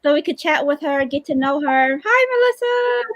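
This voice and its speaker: High-voiced woman co-hosting, close dry sound. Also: sing-song, a little slow, no echo. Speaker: campaign director, co-host. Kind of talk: casual livestream chat. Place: remote video livestream.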